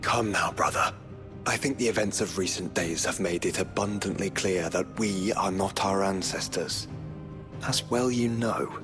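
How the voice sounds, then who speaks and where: An earnest younger-hearted brother in a fantasy game. His voice is quite deep, gentle and articulate. Music is clearly heard behind it. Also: a little slow. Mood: reassuring. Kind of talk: video game voice acting.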